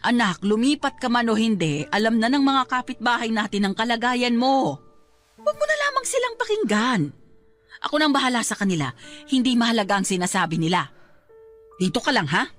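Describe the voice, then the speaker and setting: A woman's voice: on the high side, big pitch swings, a little fast, fairly bright tone, soft background music. A woman with a Filipino accent playing a worried, protective mother in a radio-style drama, pleading and reassuring.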